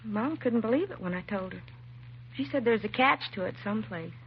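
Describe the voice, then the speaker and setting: An American actress playing a timid, self-doubting young secretary in a 1950s radio drama, hesitant and apologetic. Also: female voice, medium pitch, lively intonation, brisk, close to an everyday voice. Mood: self-deprecating, nervous. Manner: hesitant, nervous, apologetic, trailing and restarting. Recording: muffled vintage radio recording, faint hiss.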